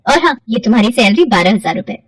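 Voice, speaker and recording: A businesslike female salon owner voiced by a woman narrator, brisk and approving. The voice is fairly high, with a dry studio sound, as in a cartoon tale. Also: very animated, breathy edge, no room sound, distant mic, full character voice. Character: appreciative, businesslike beauty parlour owner boss.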